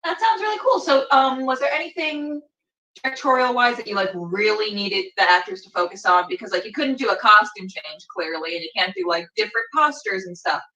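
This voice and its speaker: A woman's voice: mid-range voice, lively intonation, a little fast, sharp tone, distant mic. A woman hosting a casual interview, informal and enthusiastic, recorded in a roomy space away from the microphone. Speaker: podcast host interviewing. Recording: roomy, echoey, off the mic.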